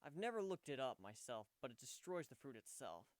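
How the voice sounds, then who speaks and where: A man voicing a gentle, wry male pegasus pony who speaks matter-of-factly in a story reading. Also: mid-range voice, big pitch swings, a little fast, no room sound, natural voice. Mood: explaining.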